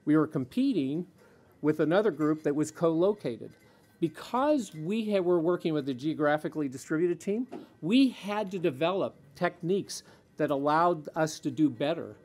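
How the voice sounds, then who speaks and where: Animated man on a conference panel. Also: fairly high pitch, moderate pace. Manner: animated, emphatic, restarts phrases. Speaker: panel speaker. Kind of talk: panel talk. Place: conference hall.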